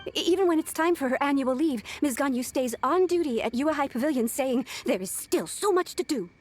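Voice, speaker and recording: A woman's voice: high-pitched, expressive, brisk, slight background noise. A woman playing a polite, earnest, worried young female secretary in a video game. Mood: earnest, concerned.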